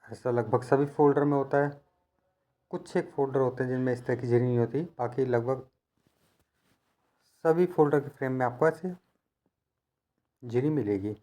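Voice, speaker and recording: A man's voice: mid-range voice, normal speed, pauses often, mellow. A man giving hands-on instructional narration as a repair technician, explaining things in a patient, practical, conversational way.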